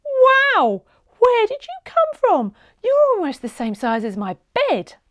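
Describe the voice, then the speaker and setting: A woman's voice: very high voice, huge pitch swings, slow pace, fairly bright tone, dry recording, clean studio sound, voice pushed up, full character voice. A woman voicing a cheeky, keen little girl in a storybook, with emphatic exclamations. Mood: amazed.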